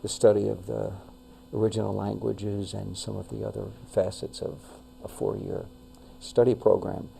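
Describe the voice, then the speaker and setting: An older man with a New York accent, a retired pastor talking as an interview guest, measured and full of fillers and restarts. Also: lowish voice, level tone, gravelly, warm tone, slight background noise. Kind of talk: casual interview talk.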